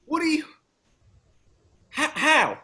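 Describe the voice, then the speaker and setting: A man acting a shaken, grieving young activist in a screenplay table read, with halting, emotional delivery. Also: extremely high pitch, huge pitch swings, sharp tone, full character voice. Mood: shaken, uneasy.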